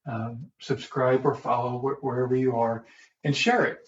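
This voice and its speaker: A relaxed man co-hosting a podcast, talking easily and laughing often. The sound is roomy and dull, as if he sits away from the microphone, with a faint hiss behind. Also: mid-range voice, moderate pace, far from the mic. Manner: easygoing, warm, laughs often. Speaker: podcast co-host. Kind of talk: casual chat.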